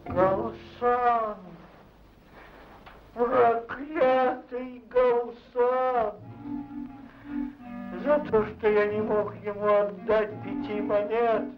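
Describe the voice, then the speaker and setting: An actor playing a scheming rich man posing as a beaten old man, with theatrical, drawn-out delivery in a Soviet cartoon. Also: very high voice, steady tone, exaggerated voice. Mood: plaintive. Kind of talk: Soviet animated film acting. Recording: dull old film soundtrack with music.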